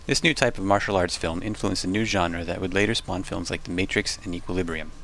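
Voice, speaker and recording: Man, deep register, brisk delivery, scripted documentary narrator, music behind. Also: gravelly, very noisy. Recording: music under the voice. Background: background music.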